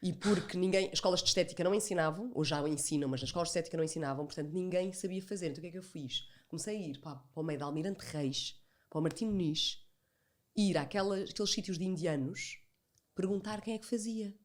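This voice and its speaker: A woman's voice: lowish voice, fast talker, a little mellow. A woman with a European Portuguese accent, telling stories as an interview guest, chatty, acting out remembered dialogue and repeating phrases for emphasis. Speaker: podcast guest, entrepreneur. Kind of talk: animated storytelling in an interview.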